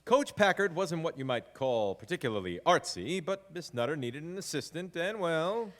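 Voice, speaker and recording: A man's voice: fairly high pitch, normal speed, crowd noise. An actor playing a nostalgic grown man fondly telling childhood memories in a scripted monologue before a live hall. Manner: animated, fond storytelling. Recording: reverberant live hall, music underneath.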